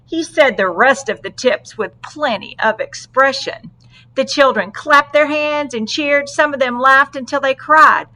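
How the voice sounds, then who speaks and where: Woman doing a kids read aloud, takes it slow, dramatic pauses, expressive. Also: high-pitched, poor audio. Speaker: storybook reader.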